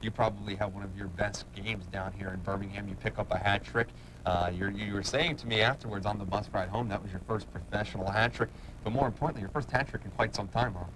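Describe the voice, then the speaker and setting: Male TV sports interviewer. Rapid, slightly low voice, long-winded questions, noticeable noise behind. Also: steady tone. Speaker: sports show host and play-by-play announcer. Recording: clearly noisy background.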